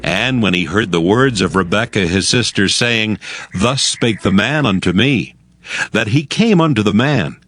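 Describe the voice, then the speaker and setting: A man's voice: fairly low pitch, lively intonation, measured pace, fairly bright tone, dry recording. A man narrating scripture for a dramatized audio Bible in a formal storytelling manner.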